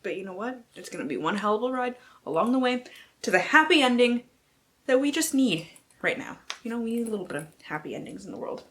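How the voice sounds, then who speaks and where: A woman's voice: on the high side, lively intonation, fast, fairly bright tone. A woman book vlogger who mixes casual, slangy chat with mock-dramatic blurb reading and playful, sweary asides to herself.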